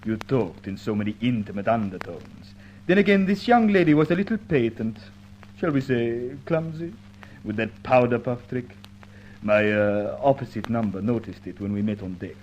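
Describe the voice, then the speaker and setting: A man's voice: mid-range voice, expressive, darkish tone, dull audio, a little hiss or hum, lightly acted. A man playing a coolly polite, menacing crook with stilted, formal phrasing in an old-time radio detective drama. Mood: suspicious. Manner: stilted, formal, measured threats.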